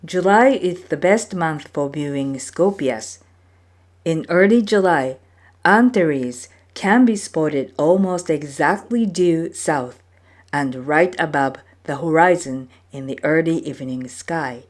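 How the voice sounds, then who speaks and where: A woman reading from a folktale book as a storyteller, with measured, deliberate phrasing. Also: deep voice. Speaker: storyteller, reader. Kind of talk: scripted narration of a folktale book.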